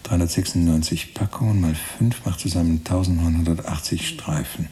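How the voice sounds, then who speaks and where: A pedantic writer in a radio play, laying out his reasoning deliberately. The voice is deep and mellow with a dry creak. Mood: pedantic, earnest. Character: pedantic male writer reasoning through absurd sums. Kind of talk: German radio play monologue.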